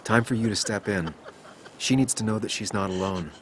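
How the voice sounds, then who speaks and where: An actor playing a gentle, weary, consoling man in a dramatic video game, speaking in a measured and reassuring way. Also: fairly low pitch, slight background noise, natural voice. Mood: somber, gentle.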